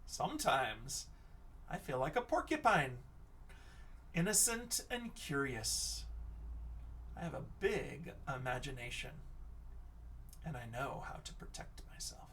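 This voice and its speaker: A man teacher reading a picture book aloud and chatting to children, expressive, often dropping into hushed whispers. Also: medium pitch, slow, long pauses, recorded from a distance, a little hiss or hum.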